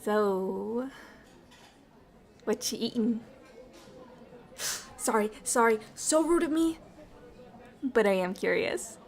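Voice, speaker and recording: A woman voicing a bubbly, friendly alien ambassador in an ASMR roleplay, chatty, excitable and quick to apologise. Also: on the high side, vocal fry. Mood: curious, eager.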